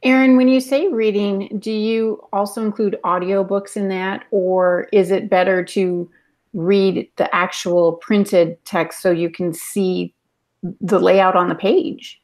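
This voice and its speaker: A woman's voice: mid-range voice, fairly even intonation, takes it slowly, a little mellow. A woman co-hosting a podcast, putting questions to her colleagues in casual talk. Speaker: podcast co-host.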